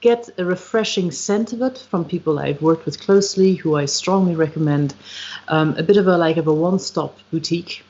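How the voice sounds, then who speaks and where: A woman with an Irish accent, a musician being interviewed on a podcast, speaking reflectively and searching for her words with frequent pauses and ums. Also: lowish voice, darkish tone. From Ireland. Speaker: guest, musician and retreat founder. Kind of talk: podcast interview, thoughtful conversation. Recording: slightly dull, lo-fi livestream audio.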